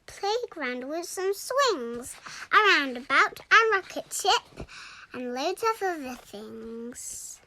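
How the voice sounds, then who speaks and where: A young girl reading a rhyming children's storybook aloud in a deliberate, expressive way. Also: sits high, low-quality recording. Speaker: story reader.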